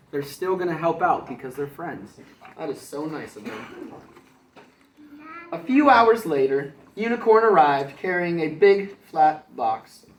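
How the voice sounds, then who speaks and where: A man who works as a kindergarten teacher reads a storybook aloud for children, pausing to explain it in simple words. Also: a little high, echoey room, distant mic.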